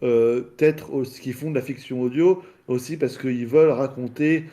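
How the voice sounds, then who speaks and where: A man in a casual debate, speaking haltingly and searching for his words. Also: medium pitch, fairly even intonation, dark tone. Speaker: debate participant.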